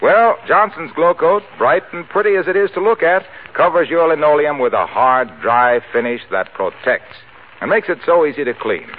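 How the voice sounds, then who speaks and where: A man working as a radio announcer, delivering scripted 1940s-style announcements and sponsor pitches. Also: expressive, normal speed. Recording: scratchy narrow-band 1940s radio recording.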